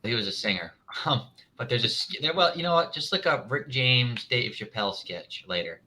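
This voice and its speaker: A man's voice: mid-range voice, lively intonation, normal speed, pushed and bright. A man playing in a tabletop game, chatting in a friendly way and cracking jokes. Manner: friendly, joking. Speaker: player. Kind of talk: casual chat at a tabletop game.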